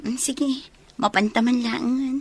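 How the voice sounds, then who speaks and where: An actress playing an impressed, covetous young woman visitor in an Ilocano radio comedy drama, often slipping into breathy asides. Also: a little high, fairly even intonation, unhurried. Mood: sweet, agreeable.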